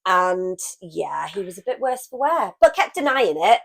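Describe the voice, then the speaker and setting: A woman's voice: medium pitch, sing-song, gravelly, fairly bright tone. A woman co-hosting a casual podcast, chatty and upbeat. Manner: chatty, upbeat, quick to laugh. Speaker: podcast co-host.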